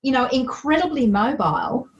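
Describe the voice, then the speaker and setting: A woman hosts a podcast in a lively, enthusiastic way, laughing easily between questions. Her voice sits a little high for a woman. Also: normal speed, lo-fi sound. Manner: enthusiastic, chatty, laughs often. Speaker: podcast host. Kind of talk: casual interview chat.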